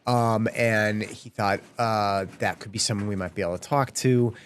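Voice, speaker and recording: A low-voiced man, a reporter talking through how he got his story. He hesitates and restarts often, feeling his way through each answer. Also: steady tone, moderate pace, a little hiss or hum. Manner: hesitant, many fillers and restarts. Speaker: news reporter. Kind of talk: unscripted studio conversation.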